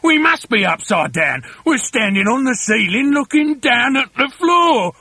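A man voicing a nasty, blustering, dim-witted husband who shouts and yells in a storybook reading. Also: very high-pitched, very animated, measured pace, creaky, husky, no room sound, pitched above the performer's own voice, heavily acted. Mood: alarmed. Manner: shouting, yelling exclamations.

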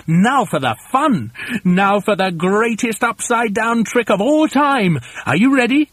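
A man voicing a bossy, excitable father monkey in a storybook, impatiently shouting orders. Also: sits high, a little slow, husky, dry recording, exaggerated voice. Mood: gleeful, commanding.

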